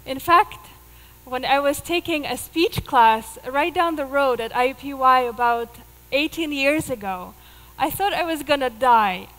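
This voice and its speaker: A woman's voice: high voice, fairly bright tone, big-room sound, poor audio. A woman in her thirties from Russia with a Russian accent, telling a personal story in an earnest way as a speaker on a theater stage, miked with a headset. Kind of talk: live stage talk.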